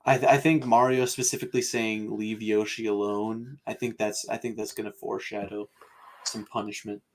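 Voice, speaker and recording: A man chatting casually as a podcast panelist, speaking in a hesitant, halting way and repeating words. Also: on the low side, little pitch movement, a little hiss or hum. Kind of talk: casual chat.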